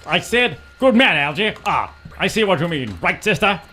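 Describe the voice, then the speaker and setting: A man's voice: sits high, sing-song, moderate pace, bright, forceful tone. A man in an amateur comic radio play voicing a plummy, stiff-upper-lip British flying ace with clipped upper-class bluster. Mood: determined.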